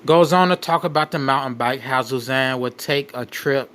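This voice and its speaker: A man hosting a show, reading a document aloud with off-the-cuff commentary in a halting way, repeating and rephrasing as he goes. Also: mid-range voice, a bit bright. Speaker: late night show host.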